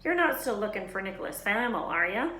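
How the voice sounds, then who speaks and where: Storybook gamekeeper man, acted by a woman: furtive, gruffly friendly, folksy dropped consonants, echoey room. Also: medium pitch, sing-song, fairly quick, pushed and bright. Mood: shifty, hushing. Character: big, shifty, secretive male gamekeeper, gruffly friendly.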